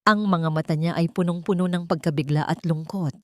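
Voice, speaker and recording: A woman narrating a romantic audio drama in a dramatic, expressive storytelling manner. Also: fairly low pitch, brisk, no echo.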